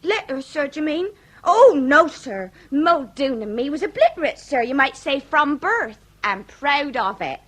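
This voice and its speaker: Comic period radio drama: melodramatic working-class Victorian widow, talkative, high-pitched, very expressive range, mock-grand folksy phrasing. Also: female voice, a little slow, heavily acted. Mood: meekly protesting. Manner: theatrical lamenting, folksy mangled grand words.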